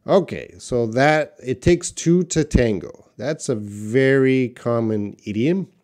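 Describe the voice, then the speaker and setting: A man hosting a live English lesson, answering learner questions in a deliberate, emphatic way and repeating key points. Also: mid-range voice, darkish tone. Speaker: English teacher, live Q&A host.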